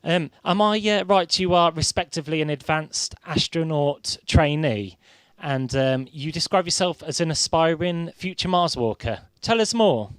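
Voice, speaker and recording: A man's voice: fairly high pitch, normal speed, clean tone, dry recording. A man conducting a radio interview, hesitant and often stammering over his words.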